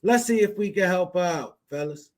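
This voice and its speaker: A man's voice: high-pitched, normal speed. A man hosting a podcast, talking to his viewers in a hyped, informal, slangy way. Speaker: podcast host. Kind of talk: reaction show intro, addressing viewers.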